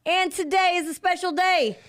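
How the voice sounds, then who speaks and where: A woman in her early forties co-hosting a casual podcast chat, upbeat and quick to laugh. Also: very high voice, steady tone, fairly bright tone. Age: 40s. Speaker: podcast co-host.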